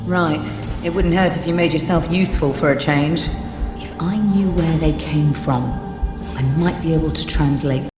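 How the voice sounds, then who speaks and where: An actress playing a sly, teasing treasure hunter in a fantasy game, with a wry, sarcastic delivery. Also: female voice, low-pitched, moderate pace, lightly acted. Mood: keen, insistent. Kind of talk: fantasy game character acting. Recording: echoey hall reverb, music behind.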